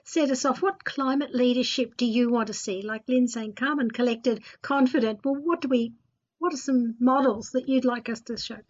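A woman's voice: a little high, normal speed, muffled recording. A woman hosting a radio discussion, moderating in a conversational way. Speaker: radio show host.

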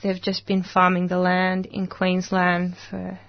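A woman's voice: lowish voice, monotone, a little slow, dry recording, dull audio. An Australian woman, a guest in a studio, chatting casually and searching for words with many fillers. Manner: hesitant, many fillers and restarts. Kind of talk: casual conversation.

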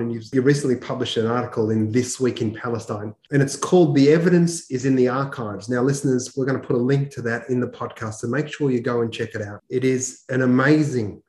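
Man, podcast host, animated, asking questions, medium pitch, echoey room. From Australia. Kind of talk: podcast interview. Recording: roomy echo.

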